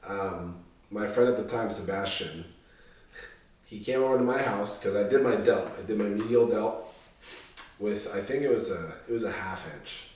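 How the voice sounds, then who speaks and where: A man's voice: lowish voice, normal speed, darkish tone. A man in his twenties, stuffy and nasal from a sinus infection, talking casually to his viewers in a laid-back, rambling way with lots of ums. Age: 20s. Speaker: bodybuilding vlogger. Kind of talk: casual talk to camera. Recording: very echoey room, mic at a distance.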